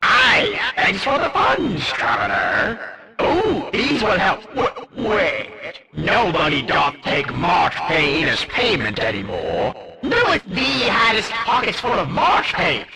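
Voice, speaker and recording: A jesty ancient skeleton merchant from a game, with a gravelly, creaky edge. He declaims in theatrical archaic phrases, pitched fairly high and swooping widely through each line. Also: wildly swooping pitch, slow pace, a loud crowd, exaggerated voice, poor audio. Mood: excited haggling. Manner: theatrical, archaic, exclamatory, riddling. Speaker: character voice for a game.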